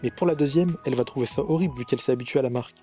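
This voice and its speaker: A man's voice: mid-range voice, very fast, mellow, faint music behind. A man reading scripted commentary for a video review in a sarcastic, critical manner.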